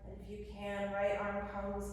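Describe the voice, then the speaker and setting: A woman guiding a yoga class with calm, unhurried cues, her voice a little hoarse. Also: mid-range voice, far from the mic, a little hiss or hum. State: slightly hoarse. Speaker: yoga teacher. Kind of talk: guided yoga class instruction. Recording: echoey hall from afar. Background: loud background music.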